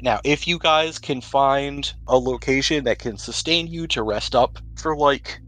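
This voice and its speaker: Measured man running a tabletop game. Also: medium pitch, a bit bright, poor audio. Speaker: game master. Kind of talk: tabletop game narration and rules talk.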